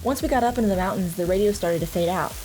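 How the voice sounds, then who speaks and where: A loving mother in an audio drama, played by a woman, speaks in a reassuring, playful way at a medium pitch. Soft music runs underneath as she tells her story and teases her child. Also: rapid-fire, lightly acted, rough recording. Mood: reflective narration. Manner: reassuring, teasing. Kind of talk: audio drama narration and dialogue.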